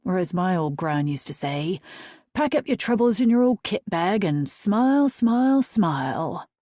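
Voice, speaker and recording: A woman's voice: fairly low pitch, big pitch swings, measured pace, warm tone, no echo, studio quality, pitched above the performer's own voice. A woman voicing a cheeky, flamboyant male hair stylist with a British accent and a teasing, theatrical manner. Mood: coaxing.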